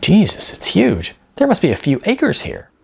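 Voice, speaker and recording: An excitable young slacker guy, voiced by a male audiobook reader, pitched up and swinging widely. He talks in slangy, incredulous bursts and sounds easily amazed, with a slightly gravelly edge. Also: moderate pace, exaggerated voice. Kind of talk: audiobook character dialogue.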